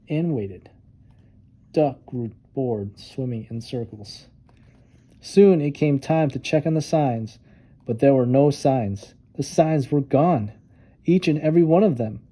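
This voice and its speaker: A man narrating a children's storybook with expressive, dramatic emphasis on key words. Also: medium pitch. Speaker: storytime reader.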